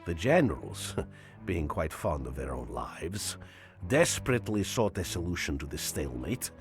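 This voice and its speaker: An actor playing a wry, war-weary Soviet officer, narrating a war game cutscene in a measured way. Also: male voice, deep voice, sing-song. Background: music.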